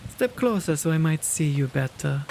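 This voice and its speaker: A man's voice: a little high, expressive, moderate pace, creaky, noisy background. A man playing a kind, genteel vampire host in an ASMR roleplay, with doting, courteous, old-fashioned phrasing. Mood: concerned, welcoming.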